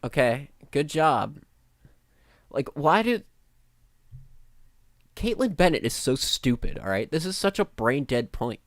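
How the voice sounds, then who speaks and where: A man's voice: mid-range voice, big pitch swings, slow pace, pauses often, dry recording, studio quality. A man with a nasal voice giving blunt, sarcastic and profane live reaction commentary as a streamer.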